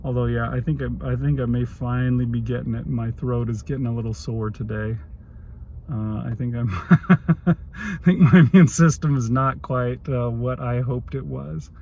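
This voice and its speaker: A man's voice: medium pitch, steady tone, moderate pace, darkish tone, slight background noise. A man in a casual video diary, musing aloud and unsure of himself. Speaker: health vlogger.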